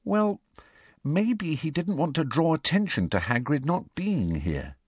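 A man's voice: on the high side, very animated, measured pace, a little mellow, slightly rough, no room sound, studio quality, voice pushed up, exaggerated voice. A man voicing a bookish, bossy teenage girl with a prim, matter-of-fact way of talking, in an audiobook. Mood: thoughtful, vague.

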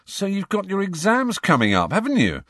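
A man's voice: sits high, huge pitch swings, moderate pace, a bit bright, no room sound, clean studio sound, pitched above the performer's own voice, heavily acted. A man voicing a cheeky, teasing teenage prankster, a breezy older-brother type, in an audiobook. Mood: knowing, teasing.

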